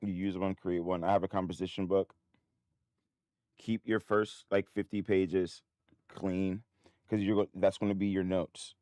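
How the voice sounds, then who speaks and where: A man hosting a trading livestream in a casual, rambling way, his voice a bit hoarse. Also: sits low, level tone, a little slow, a little mellow, no echo, clean studio sound. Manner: rambling, many restarts and fillers. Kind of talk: casual live-stream talk.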